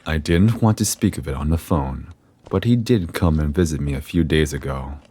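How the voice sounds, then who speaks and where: An actor playing a grave, ominous university scholar in a horror audio drama, speaking formally and deliberately with a theatrical air. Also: male voice, rumbling low, dark tone, breathy edge. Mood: grave, guarded. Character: grave, ominous male university scholar guarding occult secrets.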